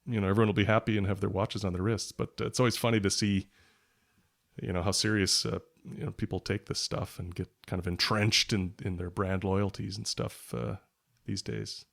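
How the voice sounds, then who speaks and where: A man with a low voice and plenty of vocal fry talks as a guest on a podcast. He rambles casually, pausing and circling as he thinks aloud. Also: flat delivery, fairly bright tone. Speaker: podcast guest, writer and product journalist. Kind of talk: casual conversational interview chat.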